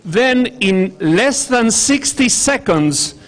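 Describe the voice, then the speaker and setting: A man from Argentina with an Argentine accent, giving a conference audience animated, directive instructions for a group activity. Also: high-pitched, takes it slowly. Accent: Argentine Spanish. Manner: animated, directive, addressing a crowd. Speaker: workshop facilitator. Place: conference hall.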